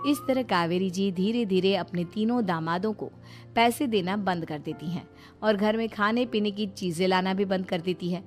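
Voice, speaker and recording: A woman's voice: medium pitch, moderate pace. A woman reading scripted narration for a moral story, as a storyteller. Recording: music behind the voice. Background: background music.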